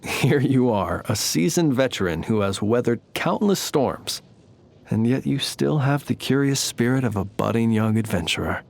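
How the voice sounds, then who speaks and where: A man voicing a courtly, humble lamp-bearing knight in a video game, speaking in formal, eloquent, old-fashioned phrases at a measured pace. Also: mid-range voice, lively intonation, vocal fry. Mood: wry, modest. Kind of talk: scripted game character voice lines.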